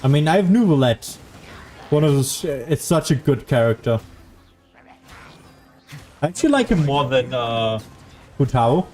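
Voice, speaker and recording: A man's voice: medium pitch, expressive, unhurried, pauses often, darkish tone. A man giving casual, sarcastic commentary as he plays a video game. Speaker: gaming streamer. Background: faint game music.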